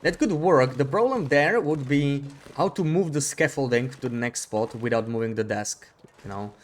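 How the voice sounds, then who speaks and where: Male streamer, casual chat at a desk mic, mid-pitched voice, muses aloud and repeats himself. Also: lively intonation, moderate pace. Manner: thinks aloud, with frequent restarts and repeats. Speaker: live streamer. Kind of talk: casual gameplay chat, reading viewer comments.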